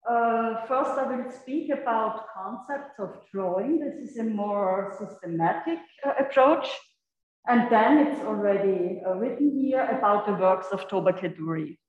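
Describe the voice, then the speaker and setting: A woman's voice: mid-range voice, expressive, a little mellow, muffled recording. German-accented woman lecturing in an echoey hall. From Europe. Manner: halting, searching for words, frequent fillers. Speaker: guest lecturer. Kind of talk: unscripted lecture.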